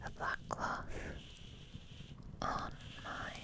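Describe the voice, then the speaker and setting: Woman whispering asmr, really slow n halting, repeats words softly, close on the mic. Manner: unhurried, halting, gentle repetition of words. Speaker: host of an ASMR channel. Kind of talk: soft whispered ASMR ramble. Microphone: close microphone resting on the table.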